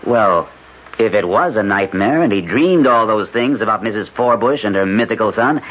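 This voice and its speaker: A man's voice: on the low side, animated, moderate pace. An actor playing a skeptical, exasperated husband and father in a radio drama, firm and dismissive. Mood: skeptical, accusing. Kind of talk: 1970s radio drama acting. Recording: muffled 1970s radio recording, faint music.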